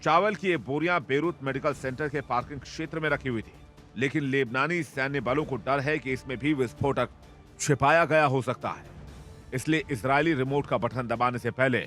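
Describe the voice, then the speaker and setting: A man reading a scripted bureau news report in a steady, measured way. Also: mid-range voice.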